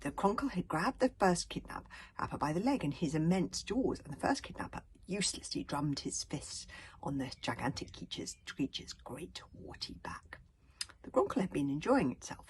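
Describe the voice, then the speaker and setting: A woman's voice: deep voice, creaky. A woman reading a children's story aloud with dramatic emphasis, occasionally stumbling over her words. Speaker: author reading own book aloud.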